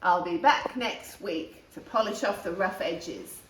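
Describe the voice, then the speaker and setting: A woman's voice: on the low side, measured pace, background noise, close to an everyday voice. Woman playing a brusque brigadier in an echoey room. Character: gruff, scary, moustached male dog-training brigadier. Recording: roomy, echoing room sound.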